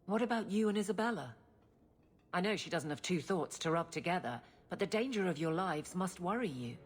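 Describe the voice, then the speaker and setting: An actress playing a stern, awkward, lovesick guard captain in a video game, blunt and earnest, with a husky voice. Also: female voice, lowish voice, lively intonation, brisk. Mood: anxious, confiding. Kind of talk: video game character acting.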